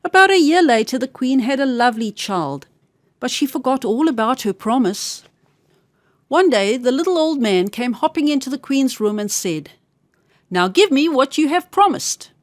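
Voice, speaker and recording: High, very animated woman storyteller. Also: normal speed, no echo. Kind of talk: scripted fairy-tale narration for children.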